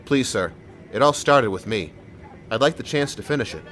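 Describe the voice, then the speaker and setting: A man playing a calm, sincere and determined police sergeant in an audio drama. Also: medium pitch, moderate pace, slight background noise, natural voice. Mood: pleading, determined.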